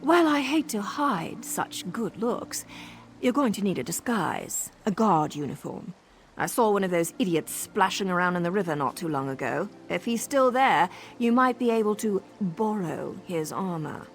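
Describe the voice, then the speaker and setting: A woman's voice: medium pitch, animated, moderate pace, slight background noise, natural voice. A woman playing a sly, teasing assassin disguised as a servant in a video game. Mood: sly scheming. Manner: sardonic, playful, conspiratorial. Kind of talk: video game character acting.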